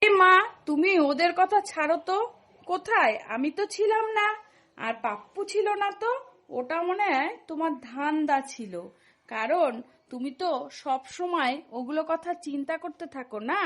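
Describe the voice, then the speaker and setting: A woman acts out a defiant schoolgirl from a comedy cartoon. The voice is high and pert, answering back with dismissive cheek. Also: lively intonation, moderate pace, a bit bright. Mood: defiant, annoyed.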